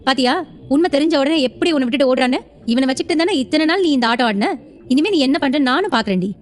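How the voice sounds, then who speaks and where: Woman playing spiteful, fast-talking elder sister. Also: sits high, lively intonation. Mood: gloating. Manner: gloating, sneering, insistent. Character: spiteful, taunting elder sister, scheming villainess. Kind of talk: audio serial drama acting.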